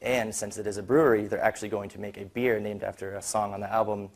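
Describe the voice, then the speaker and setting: A man's voice: on the low side, level tone, quick pace. A young man giving an informal student slide presentation in a casual, conversational way. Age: young adult.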